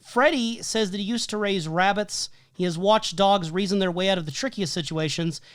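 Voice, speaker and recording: A man talks through his prepared notes in a steady, methodical way, often pausing with an "um" or a "like". His voice is wearing thin and going hoarse. The recording is dry and close, with almost no room sound. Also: high-pitched, dry recording. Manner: methodical, listing details with frequent "um" and "like". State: losing his voice. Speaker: podcast co-host. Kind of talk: reading prepared film analysis notes.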